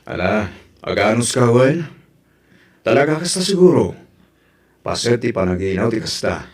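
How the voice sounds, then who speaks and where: Young male fiancé played by a man, husky and evasive, hesitant everyday talk for radio-style family drama. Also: mid-range voice, sing-song, moderate pace. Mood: gently reassuring. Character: evasive young man engaged to a pregnant woman.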